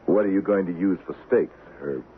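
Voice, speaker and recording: Male actor as vengeful Old West man, deep voice, cool and calculating, dry taunts, vintage radio drama, narrow tinny sound. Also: natural voice. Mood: taunting, assured. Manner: deliberate, dryly taunting, controlled. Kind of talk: 1970s radio drama acting. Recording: narrow-band vintage radio recording with faint hiss.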